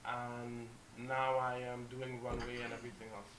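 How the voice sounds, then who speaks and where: A man, a model guest on a talk show, telling animated anecdotes and acting out what people said. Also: medium pitch, normal speed, echoey room, a crowd in the background. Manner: animated anecdotes, acts out quotes, restarts. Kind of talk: casual storytelling.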